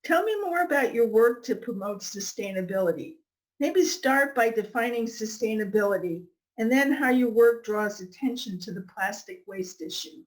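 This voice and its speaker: A woman hosting a podcast, reading a scripted introduction and asking interview questions in a measured, clear way. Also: on the high side, lively intonation, rough recording. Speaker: podcast host.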